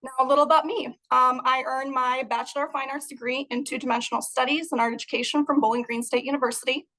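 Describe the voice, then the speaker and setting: High-voiced woman presenting her art. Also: fairly even intonation, fast talker, pushed and bright, low-quality recording. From Moldova. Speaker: guest artist. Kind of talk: prepared presentation talk. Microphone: video call.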